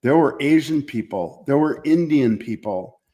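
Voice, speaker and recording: A man from small-town Minnesota with an American accent, recalling his life as an interview guest in a reflective, halting way. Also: mid-range voice, expressive, warm tone. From small-town Minnesota, United States. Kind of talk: conversational personal recollection.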